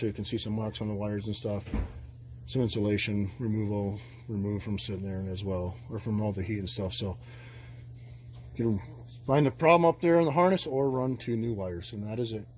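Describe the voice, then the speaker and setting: A man's voice: fairly low pitch, a little mellow, slight background noise, poor audio. A man working as an auto mechanic, talking through a repair in a loose, rambling way with plenty of fillers. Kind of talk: casual repair walkthrough. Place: garage, under a truck.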